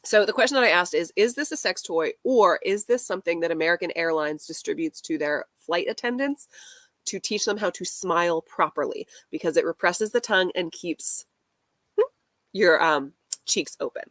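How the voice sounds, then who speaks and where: A woman talking in a casual live chat about fashion, deadpan and wry. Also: fairly low pitch, moderate pace, no echo. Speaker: fashion commentary creator.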